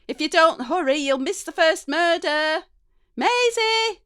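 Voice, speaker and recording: Storybook mum played by a woman, middle-aged, brisk, calling out loudly at a raised, high pitch. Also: animated, no room sound, studio quality, heavily acted. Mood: insistent calling. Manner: calling out, insistent.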